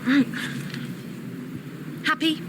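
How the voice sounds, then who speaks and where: An actress playing an awkward, guarded young cafe worker in an audio drama, terse and hesitant. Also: female voice, very slow. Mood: guarded, reluctant. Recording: noisy outdoor cafe ambience with traffic. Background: cafe and street ambience.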